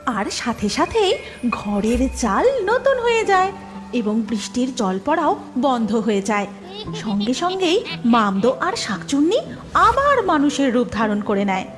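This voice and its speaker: A woman's voice: high voice, very animated, unhurried, soft background music. A woman narrating a scripted cartoon story.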